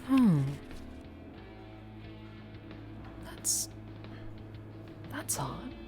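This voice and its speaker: A woman playing a self-assured, flirtatious female vampire boss with a Southern US accent in an unhurried ASMR roleplay drama. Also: on the high side, sing-song, mellow, soft background music, pitched above the performer's own voice, full character voice. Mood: puzzled. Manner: teasing, pet names, unhurried.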